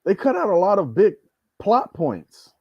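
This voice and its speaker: A man with a slightly high voice and very lively intonation, chatting as a podcast guest. He is blunt and slangy with his opinions, and the sound is dry. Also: unhurried, husky, no room sound, highs cut off. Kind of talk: casual chat.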